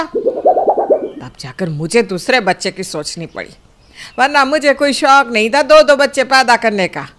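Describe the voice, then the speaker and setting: Woman doing fed up middle-aged cartoon mom, high voice, always complaining. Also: fairly bright tone. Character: aggrieved, complaining middle-aged wife and mother. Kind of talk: cartoon family drama.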